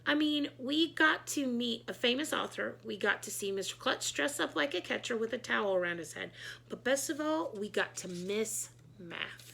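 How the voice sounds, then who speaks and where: Bright, creaky-voiced woman reading a children's story. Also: fairly high pitch, expressive, moderate pace, a little hiss or hum. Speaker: read-aloud storyteller. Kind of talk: expressive children's book narration.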